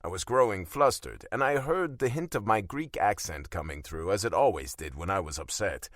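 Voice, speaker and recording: A man's voice: sits low, expressive, normal speed, fairly bright tone, dry recording, clean studio sound. A man narrating a first-person romance audiobook in a wry, self-deprecating, conversational way.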